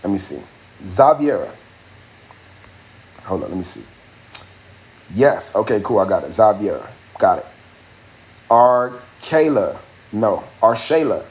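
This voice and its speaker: A man's voice: lowish voice, very animated, unhurried, pauses often, darkish tone. A young man hosting a casual live stream, chatting loosely with viewers in slangy speech and answering their comments. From Haitian family. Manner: raps his verse with a raised, rhythmic delivery. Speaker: livestream host and rapper.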